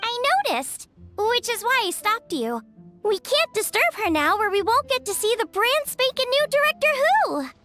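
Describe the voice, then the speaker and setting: An actress voicing a cheerful, warm-hearted young woman chef in a video game, friendly and a little teasing. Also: very high-pitched, sing-song, moderate pace, faint music behind, full character voice. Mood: earnest.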